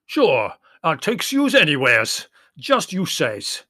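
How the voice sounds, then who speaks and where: Loud, gruff Greek taxi driver. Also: male voice, a little high, wildly swooping pitch, takes it slowly, dry recording, studio quality, voice pushed up, heavily acted. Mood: brusque, eager. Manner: broken English with misplaced plurals, emphatic. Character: big, gruff, boisterous Greek taxi driver, boastful and helpful.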